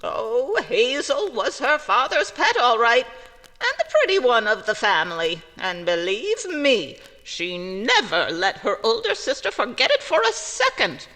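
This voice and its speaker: A woman's voice: a little high, wildly swooping pitch, a little slow, pushed and bright, long reverb tail, full character voice. A woman playing an indignant, gossipy small-town neighbor with an emphatic, folksy manner in an old-time radio drama.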